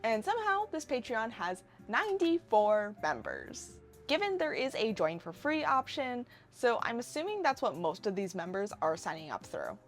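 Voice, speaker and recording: Expressive young woman, wry video essay narration. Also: fairly high pitch, moderate pace, hardly any pauses, faint music behind. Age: 20s. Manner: wry, sarcastic asides. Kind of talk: casual scripted video essay commentary.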